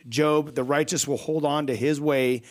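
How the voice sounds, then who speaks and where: A man's voice: a little high, steady tone, moderate pace. Casual, encouraging man on faith radio. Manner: casual, encouraging, reads verses aloud. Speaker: radio show co-host.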